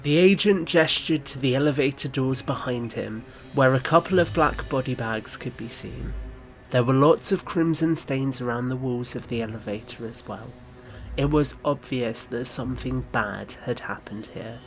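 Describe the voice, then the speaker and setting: A man's voice: medium pitch, normal speed, soft background music, poor audio. A man reading scripted third-person story narration for an audio drama.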